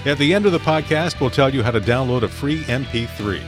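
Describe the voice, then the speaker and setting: A man's voice: medium pitch, animated, a little fast. A man reading a scripted podcast intro in a polished announcer manner. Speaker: show announcer. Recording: clear guitar music behind.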